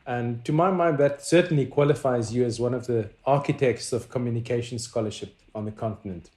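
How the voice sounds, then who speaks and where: A man hosting an interview, reading a prepared introduction and asking questions in a measured way. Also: medium pitch, a little mellow. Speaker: interviewer, media professor. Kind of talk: interview host. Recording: echoey room.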